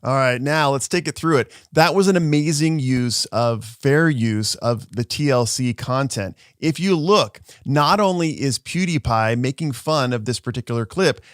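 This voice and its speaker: A man's voice: medium pitch, normal speed, hardly any pauses, no echo, studio quality. A man who works as a social media lawyer, giving an upbeat explainer and walking viewers through video clips.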